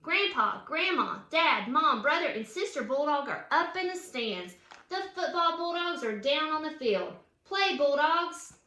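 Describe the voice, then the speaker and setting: Lady reading a kids book out loud, high voice, kinda echoey room. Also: very animated, a little slow. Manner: addresses children with questions and emphatic cheers. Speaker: children's book author. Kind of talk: read-aloud of children's picture book with chatty asides. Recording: roomy, echoing room sound.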